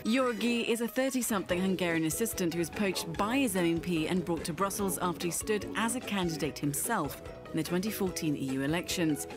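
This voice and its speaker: A woman narrating a scripted documentary feature in a brisk, lively voice-over. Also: on the low side. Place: voice-over studio. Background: background music.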